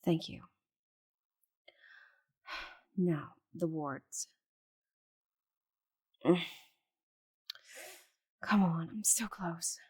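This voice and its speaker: Casting: proud giant she-dragon voiced by a woman for ASMR roleplay. Breathy, slow-paced, condescending, flustered and muttering to herself. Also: lowish voice, a little mellow, exaggerated voice. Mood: exasperated, urgent. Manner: haughty, exasperated, condescending endearments, muttering to herself. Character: proud stubborn giant female dragon, flustered while shrinking.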